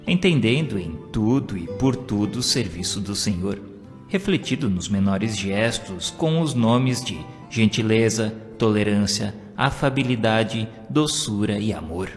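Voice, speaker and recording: A man with a Brazilian accent reading devotional stories and poetry as a narrator, in a solemn, expressive, declamatory way. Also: fairly low pitch, unhurried. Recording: long reverb, guitar music behind. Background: plucked guitar music.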